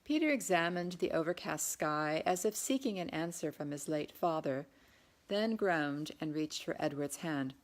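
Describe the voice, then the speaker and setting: A woman's voice: low-pitched, expressive, normal speed. A woman reading historical fiction aloud as an author, in scripted audiobook narration. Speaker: author reading their own novel.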